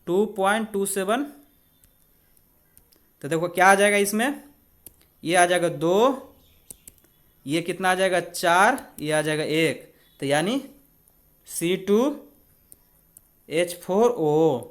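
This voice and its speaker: A man's voice: high-pitched, lively intonation, slow, long pauses. An Indian man tutoring exam students in a conversational way, often asking rhetorical questions as he works step by step. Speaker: exam coaching teacher. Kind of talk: spoken maths and chemistry tutorial.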